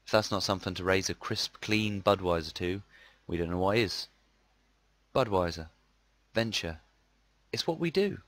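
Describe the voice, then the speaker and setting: Low male voice, radio commercial style, amateur voice actor, scripted, polished. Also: a bit bright.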